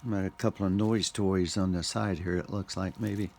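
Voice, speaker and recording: A man with a fairly low voice and a strong creaky rasp talks through an unboxing. He is casual and unscripted, dropping short remarks with pauses between them. A light layer of background noise sits under the recording. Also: fairly even intonation, darkish tone. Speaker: vlogger unboxing gear.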